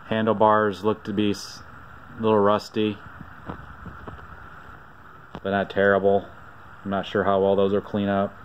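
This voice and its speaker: A man narrating off-camera outdoors while filming a vintage find, telling the story in a relaxed, rambling way with frequent pauses. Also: fairly low pitch, muffled recording, a little hiss or hum. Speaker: vlogger showing a vintage find. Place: outdoors on a driveway.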